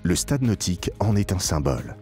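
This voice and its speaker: A man with a low voice narrates a polished institutional film. His intonation moves widely while the delivery stays formal and measured, stressing key phrases. Light music plays behind him. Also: sing-song, dark tone. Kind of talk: scripted promotional documentary narration. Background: background music.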